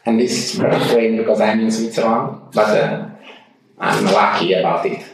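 A man's voice: on the low side, moderate pace, creaky, very echoey, far from the mic, a little hiss or hum, rough recording. A man with an Italian accent speaking English as a second language, answering interview questions hesitantly with many fillers and restarts. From Italy. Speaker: guest. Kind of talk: casual interview. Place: home in Switzerland.

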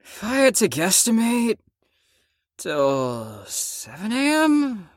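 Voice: medium pitch, huge pitch swings, slow, no echo, clean studio sound, full character voice. An actor in an audio drama playing a stubborn, sarcastic young patient who is worn out from lack of sleep. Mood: manic, boastful. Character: flippant, exhausted, stubborn young patient called Storm.

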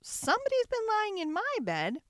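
A woman voicing a little baby bear from a storybook, with a shrill, squeaky, childlike and emphatic delivery. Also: huge pitch swings, normal speed, no echo, studio quality, full character voice. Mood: joyful.

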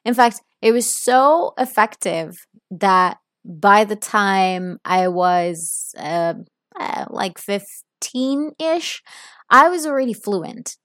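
A woman's voice: mid-range voice, expressive, takes it slowly, a bit bright, no room sound, clean studio sound. An Italian woman with an American-sounding English accent, hosting her own podcast in a casual, upbeat solo talk to listeners. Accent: American-sounding English learned as a second language. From Italy (Milan). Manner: chatty and upbeat, addresses listeners directly. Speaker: podcast host, English teacher.

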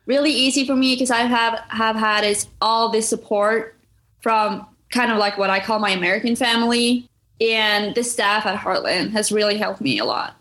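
A woman's voice: on the high side, moderate pace, fairly bright tone. Young woman, friendly and a little hesitant. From Norway. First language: Norwegian. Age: young adult. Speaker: student guest. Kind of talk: casual interview answers.

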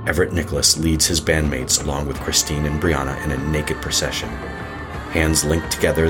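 A man narrating a scripted horror audio drama in an ominous, literary manner. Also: a bass voice. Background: music.

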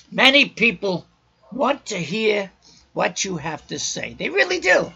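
Raspy loud guy preaching on his podcast, gravelly and bright, kinda dull lo-fi sound. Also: sits high. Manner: loud, raucous delivery. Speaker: podcast host.

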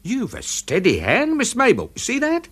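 An actor playing a genial, meticulous British manservant at detective work in a radio drama, chatty and patiently coaxing. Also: male voice, high voice, huge pitch swings, normal speed, fairly bright tone, breathy edge, heavily acted.

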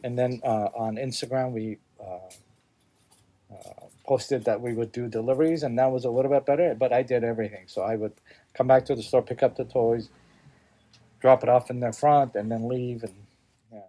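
A man gives casual interview answers as a small shop owner. His voice is mellow and of average pitch. He talks plainly and haltingly, repeating points to stress them. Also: fairly even intonation.

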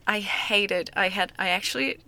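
A woman's voice: medium pitch, normal speed, bright, forceful tone, no room sound. A woman hosting a podcast in English, chatty and searching for words, laughing often. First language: not English. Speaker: podcast host. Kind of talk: casual conversation.

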